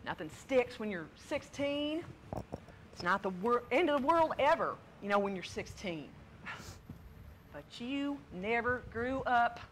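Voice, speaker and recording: Cautious, embittered older woman acted by a woman, exasperated stage delivery, lively swings in pitch, slightly noisy recording. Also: a little slow. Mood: scolding. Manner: blunt, dismissive, exasperated. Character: scolding, cautious, embittered older sister, set in her ways. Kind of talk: stage play dialogue.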